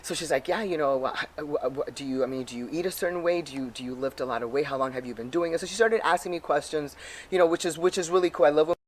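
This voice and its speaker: A man telling a personal anecdote in an animated way, acting out the dialogue as he goes. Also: a little high, quick pace. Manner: animated storytelling, acts out dialogue. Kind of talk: casual anecdote.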